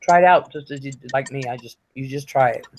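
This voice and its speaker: A woman's voice: a bass voice, fairly quick, darkish tone, a little hiss or hum. A woman hosting a livestream in casual chat, chatty and laughing, with many fillers and free swearing. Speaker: livestream host.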